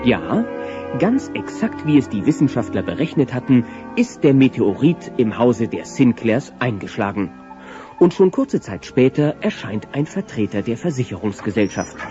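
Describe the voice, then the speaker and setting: A man's voice: mid-range voice, sing-song, moderate pace, natural voice. A man narrating a children's audio play as a wry storyteller in a scripted style. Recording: muffled old cassette. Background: music.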